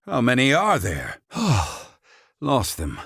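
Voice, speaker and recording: An actor playing a pompous, sardonic middle-aged male battle mage in a video game, with a raspy voice and archaic, condescending diction. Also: medium pitch, wildly swooping pitch, slow, pushed and bright, dry recording, studio quality, heavily acted. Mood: aloof, dry. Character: haughty, sardonic male battle mage, self-styled genius.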